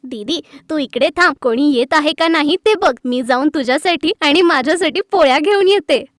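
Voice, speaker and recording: Woman voicing a cheeky, very high-pitched little girl. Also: normal speed, no echo, clean studio sound, close to an everyday voice. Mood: scheming. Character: cheeky, mischievous little girl, younger of two sisters. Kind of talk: animated moral story voice acting.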